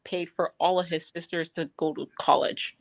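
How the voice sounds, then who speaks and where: Woman guest on a stream chat, giggly and warm, drifts off mid-thought. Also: lowish voice, flat delivery, moderate pace. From Barbados. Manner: bubbly, laughs often, trails off mid-sentence. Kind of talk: casual live-stream chat.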